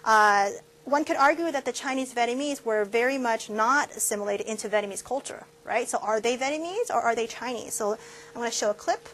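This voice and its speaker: A woman's voice: a little high, a little fast, fairly bright tone, a little hiss or hum. A woman professor giving a conversational classroom lecture and checking in with her students.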